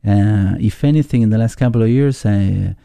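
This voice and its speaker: Musician guest, male, Argentine accent, low and mellow, halting and reflective. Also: gravelly, clean tone, no echo, clean studio sound. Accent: Argentine Spanish. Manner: thoughtful, halting, searching for words. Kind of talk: casual interview conversation.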